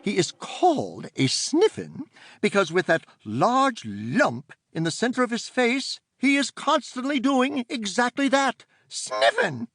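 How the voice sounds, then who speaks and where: Brief: male reader doing a prim, commanding fairy aunt for a storybook, raised and lively, dry close sound. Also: extremely high pitch, unhurried, no room sound, voice pushed up, heavily acted. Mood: lecturing. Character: stern bossy older fairy aunt.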